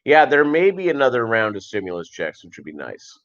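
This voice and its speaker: A middle-aged man chatting casually as a livestream host, in a rambling, halting way that often repeats phrases. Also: medium pitch, very animated, fairly bright tone. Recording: dull lo-fi livestream audio.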